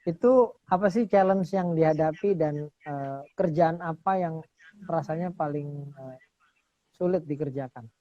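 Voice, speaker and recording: A man hosting a casual interview, upbeat, quick to affirm his guest and laugh. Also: on the high side, warm tone. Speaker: host. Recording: dull lo-fi sound.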